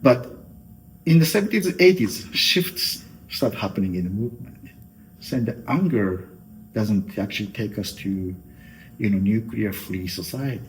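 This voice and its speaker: A middle-aged Japanese man with a low voice, answering interview questions in a considered way and often pausing on "you know" as he works through his thoughts. His English carries a clear Japanese accent. Faint noise sits behind the recording. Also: expressive, rough recording. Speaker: guest.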